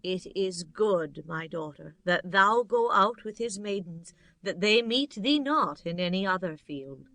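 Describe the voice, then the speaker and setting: A woman playing a caring, devout older mother-in-law giving gentle, measured counsel in a dramatized scripture audiobook. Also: medium pitch, lively intonation, no room sound. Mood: gently advising.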